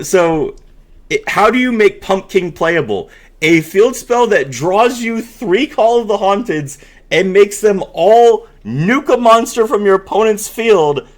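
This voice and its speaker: A man with a slightly high voice that swings widely in pitch. He talks slowly and emphatically, moving between casual commentary and theatrical read-aloud delivery like an online host. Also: a bit bright. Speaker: content creator hosting a card game reaction video. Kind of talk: casual commentary mixed with dramatic reading aloud.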